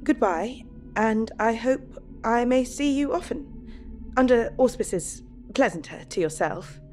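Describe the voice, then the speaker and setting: An actress playing a gracious, self-possessed young wife in an audio drama, speaking politely and formally. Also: female voice, fairly high pitch, unhurried, darkish tone, faint music behind, close to an everyday voice.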